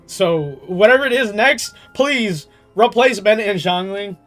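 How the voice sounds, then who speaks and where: A young man chatting casually on a game livestream in an animated, slangy way, swearing freely. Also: high voice, a little slow, faint music behind. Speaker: game streamer.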